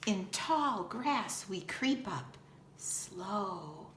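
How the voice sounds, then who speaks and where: A woman in her fifties, a teacher reading a children's picture book aloud in an expressive, deliberate way. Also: mid-range voice. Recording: roomy echo, faint background noise.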